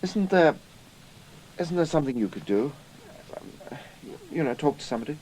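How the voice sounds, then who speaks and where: A man's voice: on the high side, lively intonation, normal speed, darkish tone, slight background noise, close to an everyday voice, rough recording. An actor playing an idealistic, indignant young Cambridge man in a radio drama, earnest and hesitant, with an English accent. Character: idealistic, indignant young British Cambridge student turned spy.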